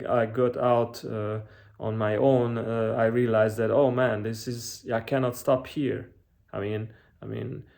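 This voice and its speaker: Guy talking slow with a gravelly edge, lots of uhs and restarts, earnest advice. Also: medium pitch, darkish tone. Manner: hesitant, many fillers and restarts; earnest, reflective. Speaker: channel host giving financial advice. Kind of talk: unscripted advice monologue.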